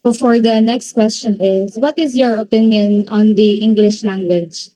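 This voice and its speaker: A woman interviewer reading out her questions slowly and deliberately. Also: mid-range voice, fairly even intonation, warm tone. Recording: distant, echoey room.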